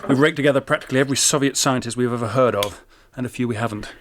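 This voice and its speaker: An actor playing a blunt intelligence officer in a radio drama, dry and brisk. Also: male voice, medium pitch, fairly bright tone, slight background noise, lightly acted.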